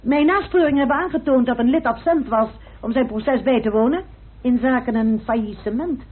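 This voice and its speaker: Woman investigator, radio drama, high voice, precise, assured, tinny narrow-band old recording. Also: fairly quick, slightly rough. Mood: triumphant reveal.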